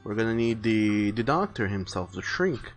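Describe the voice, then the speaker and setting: A man's voice: fairly low pitch, very animated, normal speed. A man streaming casually, rambling and rephrasing as he thinks his plans aloud. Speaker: live streamer illustrating a visual novel. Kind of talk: casual livestream chat, thinking aloud while planning artwork. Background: music.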